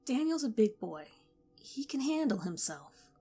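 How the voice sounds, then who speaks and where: A woman's voice: on the high side, very animated, unhurried, mellow, slightly rough, voice pushed up, exaggerated voice. A protective teenage girl who confides and coaxes in a lilting voice, as a woman reading the story plays her. Her tone is lifted a little above the narrator's, with faint music in the background. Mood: consoling. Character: cautious teenage girl friend, protective and sly.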